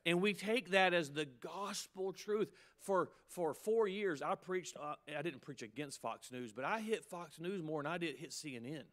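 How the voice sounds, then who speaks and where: A man's voice: fairly high pitch, lively intonation, a bit bright. Emphatic preacher in his fifties. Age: 50s. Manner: emphatic preaching with rising, punchy peaks and quick asides. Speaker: pastor. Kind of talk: sermon to pastors.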